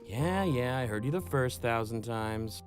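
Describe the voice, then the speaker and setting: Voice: medium pitch, animated, measured pace, over music, lightly acted. An actor voicing a brash, blunt, sarcastic young friend in a visual novel. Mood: annoyed.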